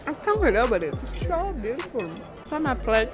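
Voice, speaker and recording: Young woman food vlogger, chatty and excited, pitch jumping all over, bit high, outdoor terrace with music behind. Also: fairly quick. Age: young adult. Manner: chatty, enthusiastic food reviewing, addresses viewers as guys. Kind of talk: casual vlog chat to camera. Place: outdoor waterfront restaurant terrace. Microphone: wired earphone mic.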